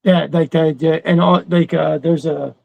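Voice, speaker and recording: A man's voice: a little high, flat delivery, a little slow, creaky, dry recording, narrow, tinny audio. A middle-aged man co-hosting a casual chat, blunt and conversational, with some swearing.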